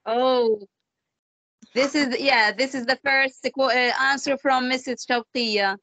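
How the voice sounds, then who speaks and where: A woman whose first language is Arabic, presenting a webinar and leading an icebreaker, speaking slowly with many fillers over a video call. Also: high-pitched, fairly even intonation, creaky, sharp tone, no echo. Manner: slow, hesitant, with many fillers.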